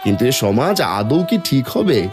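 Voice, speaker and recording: A man playing a humble, earnest poor villager in an animated folk tale, speaking with dramatic feeling. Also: mid-range voice, measured pace, over music.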